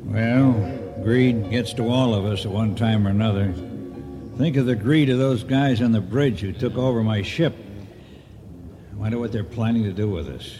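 A man acting a shrewd, suspicious ship's captain in a radio drama, brisk and sardonic. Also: fairly low pitch, in a large hall, music in the background, close to an everyday voice.

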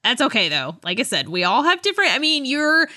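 A woman podcast co-host in casual book discussion, animated and giggly, often interrupting herself. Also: high voice, fairly quick, dry recording, studio quality.

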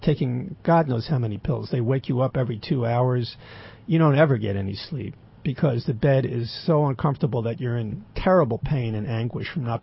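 An older man with a raspy voice, telling a rambling personal story with dry, wry humor and many fillers. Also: mid-range voice, muffled recording. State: throat occasionally closing up.

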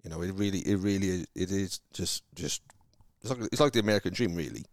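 A man from Wales with a Welsh accent, chatting casually about his sales career in a halting, rambling way, with many restarts. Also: sits low, no echo, studio quality. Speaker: car salesman guest. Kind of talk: casual conversation.

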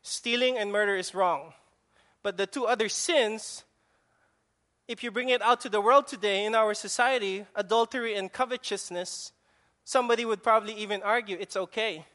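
A male preacher with a Filipino accent delivers a sermon in a noticeably high voice. He stresses his points emphatically, often repeating words and restarting his sentences. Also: normal speed, pushed and bright. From Philippines. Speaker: pastor.

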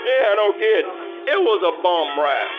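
A man's voice: high voice, big pitch swings, a little slow, narrow, tinny audio, over music. An actor playing a dim small-time crook, just out on parole, who talks slangy tough-guy street talk with bad grammar. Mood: pushy. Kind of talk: 1950s radio drama acting.

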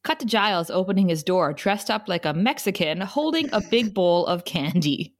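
Animated woman reading stage directions. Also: medium pitch, normal speed. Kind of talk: script narration with casual asides.

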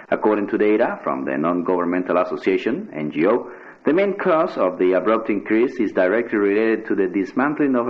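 A man with a fairly low voice reads scripted radio news. The sound is dull and band-limited, like a lo-fi broadcast. He delivers formal reports as a newsreader.

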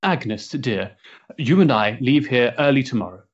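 Actor as tense, husky Victorian gentleman. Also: medium pitch, animated, a little slow, lo-fi sound. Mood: curt, resolved. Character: tense, formal Victorian gentleman, a troubled husband. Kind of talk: period stage drama acting.

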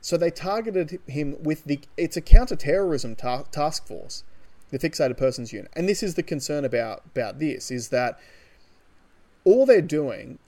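A man's voice: a little high, lively intonation, moderate pace, dark tone. An Australian man co-hosting a podcast, talking casually and emphatically through his opinions on current affairs. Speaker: podcast co-host. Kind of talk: casual opinionated political discussion.

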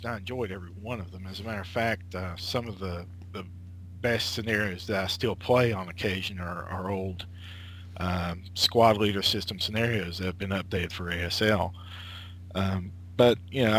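A man's voice: on the low side, fairly bright tone, a little hiss or hum, poor audio. A man in his late thirties, a guest chatting casually over an internet call, rambling with lots of filler words and restarts. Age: late 30s. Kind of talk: casual conversation.

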